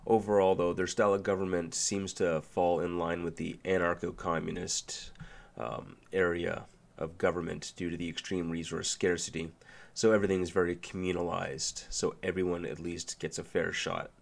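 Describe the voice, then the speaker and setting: Deep gravelly man, stuffed-up nasal voice. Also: normal speed. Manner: relaxed, loose with fillers and restarts. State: nasal from a sinus flu. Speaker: video essay host. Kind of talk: casual explainer narration.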